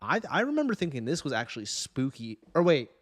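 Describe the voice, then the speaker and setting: A man with an average-pitched voice hosts a relaxed group chat. He talks informally and conversationally, often turning to the others for their take. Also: a little fast. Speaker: podcast host. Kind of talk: casual chat, movie ranking discussion.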